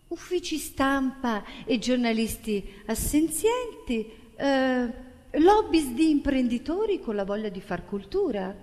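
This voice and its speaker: A woman delivers a theatrical monologue at a slow, deliberate pace. She declaims with dramatic pauses, and her voice carries a long hall reverb. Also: a little high, darkish tone. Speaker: stage actress and singer. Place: theatre stage.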